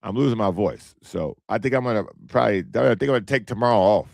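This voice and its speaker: An American man hosts his own podcast, talking bluntly and going over the same points twice. His voice is hoarse and strained, with a gravelly rasp. The sound is dry and close. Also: lowish voice, fast talker, dry recording. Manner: animated, blunt, repeats himself. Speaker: podcast host. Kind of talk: casual solo commentary.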